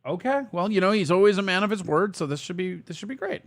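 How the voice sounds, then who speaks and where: This is a man with a slightly high speaking voice, captured dry and close. He hosts in a casual comedic style. His banter is sardonic and crude, full of teasing. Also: quick pace, no echo. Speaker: podcast host taking a call.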